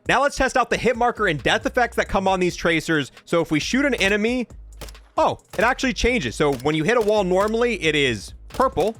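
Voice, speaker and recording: A man's voice: sits high, a bit bright, a little hiss or hum. A man giving casual, offhand commentary as he showcases a video game, with some dry humor. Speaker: gaming video presenter.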